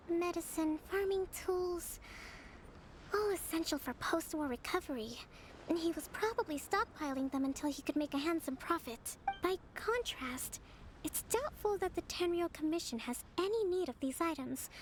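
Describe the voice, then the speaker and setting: A woman playing a gentle, composed young military strategist in a video game, speaking in measured, formal phrases. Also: extremely high pitch, a little hiss or hum. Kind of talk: scripted video game character acting. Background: background music.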